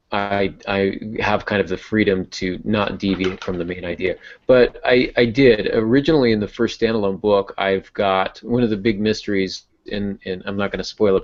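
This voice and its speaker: A man speaking casually on a podcast as a novelist, explaining and thinking aloud. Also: sits low. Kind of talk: casual podcast discussion of writing. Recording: dull, lo-fi podcast audio.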